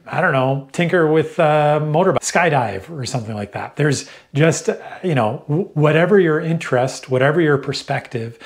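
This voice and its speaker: A man in his fifties, raised in Vancouver with German as his first language, giving relaxed, conversational advice to the camera from a small room at home. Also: fairly high pitch. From Vancouver, Canada. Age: 50s. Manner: relaxed and conversational, some restarts. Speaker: vlogger.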